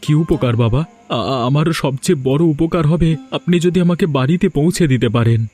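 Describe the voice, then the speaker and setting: A man's voice: on the high side, fairly quick, dark tone, close to an everyday voice. A man voicing a distressed, grateful, stranded village gold seeker in a cartoon. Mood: pleading. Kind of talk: Bengali moral-tale cartoon dubbing.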